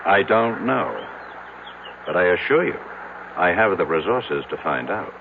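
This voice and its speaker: A brusque, skeptical businessman in a vintage radio play, on a tinny recording with music behind. His deep voice swings widely as he issues clipped, imperious, humorless demands. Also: big pitch swings, unhurried, creaky, noisy background, heavily acted. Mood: curt, assured. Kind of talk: old-time radio drama acting.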